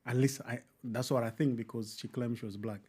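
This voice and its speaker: A man's voice: mid-range voice, steady tone, a little fast, a little mellow, breathy edge. Man hosting a reaction commentary. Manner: talks over the clip and repeats key lines, with interjections. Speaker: commentary host.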